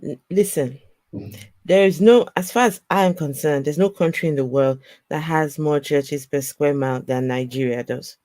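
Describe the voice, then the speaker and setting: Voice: medium pitch, moderate pace, darkish tone, no echo. A livestream co-host talking casually in a teasing, chatty way and directing viewers to links.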